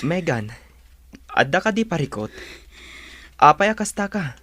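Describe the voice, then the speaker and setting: A man's voice: a little high, big pitch swings, a little slow, fairly bright tone, no room sound, exaggerated voice. A man playing an earnest, exasperated young husband torn between wife and mother in a radio drama. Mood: concerned. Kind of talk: serial radio drama acting in Ilocano.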